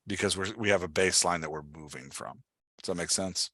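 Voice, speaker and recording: A man's voice: low voice, brisk, bright, forceful tone, no echo, studio quality. A man coaching someone in a casual one-on-one talk, instructive and prodding with questions. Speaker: podcast host and dialogue writing coach.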